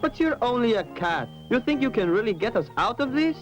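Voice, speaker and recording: A man's voice: very high voice, big pitch swings, a little fast. A man voicing a poor, worried, doubtful young boy in a cartoon fairy tale. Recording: dull audio with music behind.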